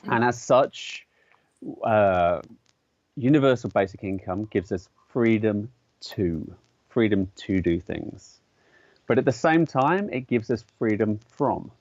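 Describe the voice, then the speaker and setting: A man's voice: lowish voice, darkish tone, no echo, highs cut off. A man, an artist and educator, speaking as a guest in a conversational interview, thoughtful and hesitant, with many fillers and restarts. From English-speaking country. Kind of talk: conversational interview explaining ideas.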